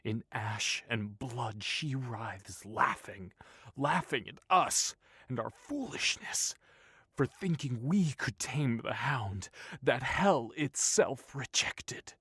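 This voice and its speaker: A male narrator voicing a foreboding man who warns of doom in slow, hushed, dramatic tones. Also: medium pitch, expressive, slightly rough, no room sound, clean studio sound, pitched above the performer's own voice, exaggerated voice. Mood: ominous. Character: ominous man prophesying doom.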